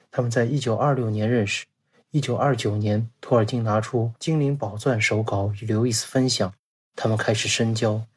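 A man's voice: lowish voice, husky. A man speaking as a podcast guest, giving a scripted, storytelling narration.

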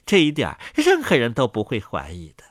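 A man's voice: medium pitch, huge pitch swings, brisk, no room sound, studio quality. A man voicing a conceited, sneering quill pen in a storybook reading, with a mocking, superior manner.

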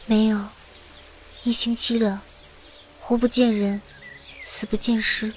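High-voiced young adventurer heroine. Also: female voice, little pitch movement, slow pace, a little hiss or hum. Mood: subdued, sad. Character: earnest young female adventurer narrating her own story. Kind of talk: dramatic first-person fantasy radio drama narration.

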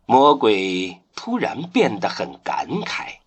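A man narrating an audio drama as a solemn, hushed storyteller. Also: fairly low pitch, wildly swooping pitch, slow pace, breathy edge.

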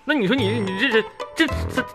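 A man's voice: extremely high pitch, a little fast. A man playing a hapless, teasing middle-aged husband in a comedy radio drama, blustering through excuses with a Northeastern Chinese accent. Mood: mocking. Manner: blustering, folksy, excuse-making. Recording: music behind the voice. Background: background music.

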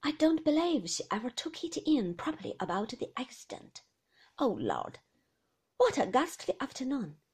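A woman's voice: high voice, wildly swooping pitch, normal speed, darkish tone, breathy edge, pitched above the performer's own voice, full character voice. A woman voicing an upper-class sister-in-law who speaks in gushing, emphatic exclamations. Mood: dismayed.

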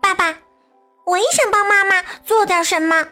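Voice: very high-pitched, fairly even intonation, normal speed, sharp tone, faint music behind. A performer playing an earnest, sweet, curious young child in a children's radio drama. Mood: eager, loving.

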